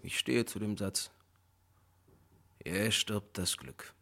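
A man's voice: lowish voice, lively intonation, slow, sharp tone, exaggerated voice. A man playing a grave tragic reciter in a radio play, delivering verse with weight.

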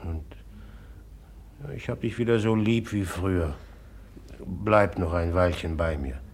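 A man's voice: low-pitched, slow. An actor playing a volatile, jealous man tormented by love in a radio drama, speaking bitterly in brooding, broken phrases. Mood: brooding, tender. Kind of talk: classic German radio drama acting.

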